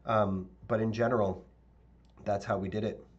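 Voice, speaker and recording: A man with a fairly low, slightly raspy voice walks viewers through his work on a live stream, chatting loosely and filling pauses with "um" and "uh." The audio is dull and lo-fi, with keyboard typing heard behind him. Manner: relaxed, rambling, thinks aloud with fillers. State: slightly raspy voice, clearing throat. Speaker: architect teaching live.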